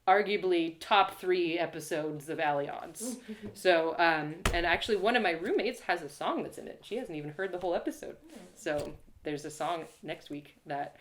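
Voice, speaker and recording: A woman's voice: fairly low pitch, moderate pace, slight background noise. A woman hosting a live story reading, chatting casually and playfully to her viewers.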